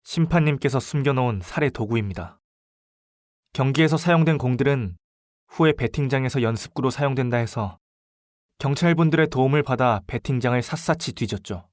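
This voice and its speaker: A male actor playing a young student detective in an audio drama, speaking with polite, deliberate and dramatic composure. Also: medium pitch, no room sound, studio quality, natural voice. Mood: cool, confident. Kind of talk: audio drama acting with first-person narration.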